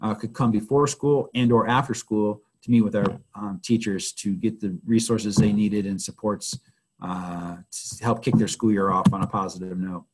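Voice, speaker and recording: Hoarse guy giving an education webinar, keeps clearing his throat, kinda raspy. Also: fairly low pitch, fairly even intonation, rough recording. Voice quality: husky. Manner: halting, many fillers and restarts. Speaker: school administrator presenting a webinar. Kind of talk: webinar presentation.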